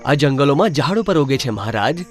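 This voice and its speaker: A man playing a deferential, formal royal minister with a husky voice in a children's animated story. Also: mid-range voice, brisk. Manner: obedient, formal.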